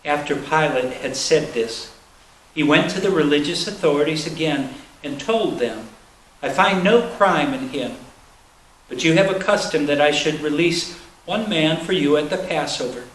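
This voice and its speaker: A man's voice: a little high, normal speed, fairly bright tone. Male scripture reader, solemn scripted delivery, echoey room with a faint noise underneath. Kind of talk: solemn scripted reading of a Bible narrative. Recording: roomy echo, faint background noise.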